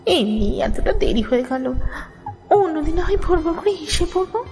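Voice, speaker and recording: An actress playing an anxious, superstitious but caring elder-sisterly companion in an audio story. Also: female voice, extremely high pitch, big pitch swings, moderate pace, a little mellow, full character voice. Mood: worried, pleading. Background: music.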